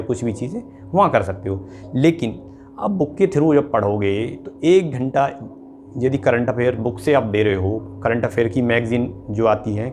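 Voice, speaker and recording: A man's voice: mid-range voice, darkish tone. Male exam coach, mid-range voice, informal explanatory lecturing with check-in tags, some noise behind. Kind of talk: informal instructional lecture to students.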